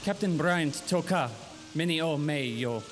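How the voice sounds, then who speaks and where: A man's voice: on the high side, measured pace, lightly acted. An actor playing a greasy little cop in his thirties who talks in mixed-language street slang, in noir radio style. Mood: curt, sneering. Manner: speaks mishmash street slang of several languages. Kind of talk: 1940s noir radio acting. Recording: hall reverb, music behind.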